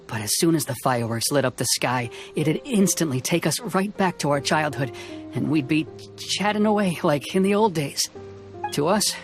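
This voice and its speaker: A man playing a mild, wistful merchant with a gentle, nostalgic manner in game dialogue. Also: on the high side, lightly acted.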